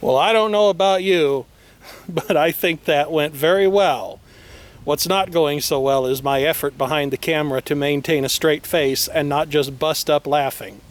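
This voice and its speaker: A man's voice: on the high side, moderate pace. A man hosting a casual vlog with wordy, playfully formal, tongue-in-cheek phrasing and mock seriousness. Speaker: video host. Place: outdoors on a windy day, by a vehicle. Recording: clear noise, windy outdoor recording.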